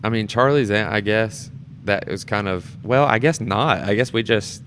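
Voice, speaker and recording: A man's voice: fairly low pitch, moderate pace, fairly bright tone, slight background noise. A man talking casually as a guest in an informal conversation. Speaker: community theatre performer, podcast guest. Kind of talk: casual chat.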